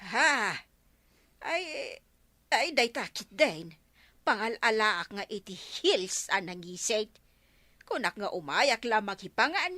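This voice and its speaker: A woman playing a reluctant, complaining older village mother in a radio comedy drama, whiny and drawn-out, with an Ilocano accent. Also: mid-range voice, sing-song, sharp tone, dry recording, exaggerated voice.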